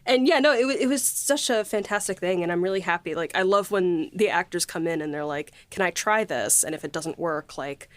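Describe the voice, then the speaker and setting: A woman's voice: on the low side, a little fast, few pauses, dry recording. Effusive woman chatting, dry close sound. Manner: effusive, frequent laughter. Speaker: podcast host and audio drama writer. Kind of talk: casual behind-the-scenes chat.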